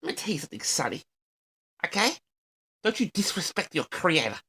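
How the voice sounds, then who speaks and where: A man's voice: fairly high pitch, sing-song, brisk, pushed and bright, slightly rough, heavily acted. A man playing a pompous, easily affronted eccentric who records a comic, theatrical audio diary for his audience. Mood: grumbling, aggrieved. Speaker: audio log host. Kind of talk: comic rambling monologue to an audience.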